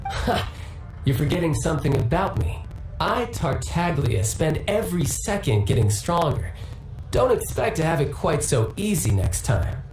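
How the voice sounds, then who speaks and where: A man's voice: on the high side, sing-song, normal speed, darkish tone. An actor playing a cheerful, polite young warrior who dotes on his little brother, speaking theatrically in a game. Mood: confident, playful. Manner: smooth-talking, theatrical, polite. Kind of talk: scripted game character dialogue. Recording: roomy game audio under clear music.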